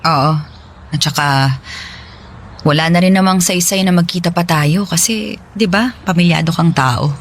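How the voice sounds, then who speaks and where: Woman acting soft forgiving lady friend in a radio drama, casual n reassuring, some noise behind her. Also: on the low side, normal speed, long pauses, lightly acted. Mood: gentle, resigned. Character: gentle, forgiving female friend, once publicly shamed. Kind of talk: Filipino radio drama dialogue.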